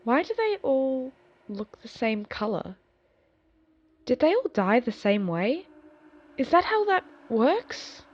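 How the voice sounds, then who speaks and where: A woman plays a young female werewolf newcomer in an audio drama, curious and informal. Her voice is high and very lively in pitch, unhurried, on a slightly dull recording. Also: husky, full character voice. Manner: breezy, inquisitive, informal.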